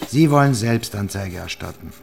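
An actor playing a stern, sceptical law officer in a radio drama, gravelly and husky, dryly condescending. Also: male voice, fairly low pitch, expressive, normal speed, a little mellow, slight background noise. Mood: stern, dismissive. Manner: condescending, dry. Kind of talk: naturalistic German radio drama acting.

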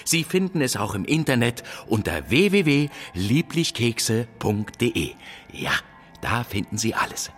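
An actor playing a polite, fussy and easily flustered gentleman inventor who rambles formally in a children's radio play. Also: medium pitch, sing-song, a little slow, soft background music. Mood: courteous, chatty.

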